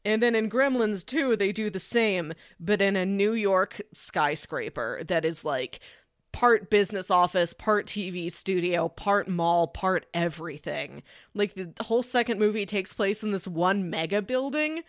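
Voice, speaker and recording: A woman's voice: medium pitch, animated, unhurried, no room sound. Enthusiastic woman chatting, close dry sound. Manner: enthusiastic, emphatic, uses casual asides. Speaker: podcast co-host. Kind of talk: casual chatty movie pitch.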